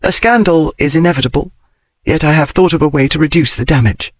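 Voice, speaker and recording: A man putting on a sharp-tongued period lady. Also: a little fast, dry recording, natural voice. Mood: insistent. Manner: crisp, formal period speech rising in pitch. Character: blunt, logical Victorian-era lady, wry and emotional. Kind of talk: audiobook character reading.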